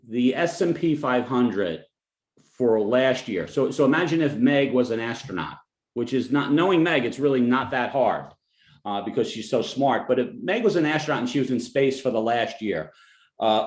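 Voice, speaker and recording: A man's voice: medium pitch, lively intonation, normal speed, darkish tone, reverberant. A man from New Jersey with a New Jersey accent, a financial advisor giving a client webinar presentation in a conversational way, with joking asides and frequent restarts.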